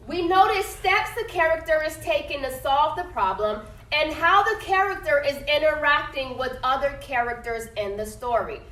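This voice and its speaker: A woman's voice: high-pitched, fairly bright tone. A woman teacher reading a picture book aloud to her class, stopping often to ask questions and check understanding with "okay?". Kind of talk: classroom read-aloud lesson. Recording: echoey classroom from a distance.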